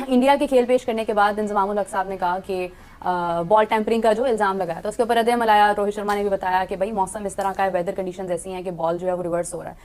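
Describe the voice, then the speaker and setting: A woman's voice: medium pitch, fairly even intonation, fast, a little mellow. A woman presenting a conversational sports discussion, summing up reactions and putting questions.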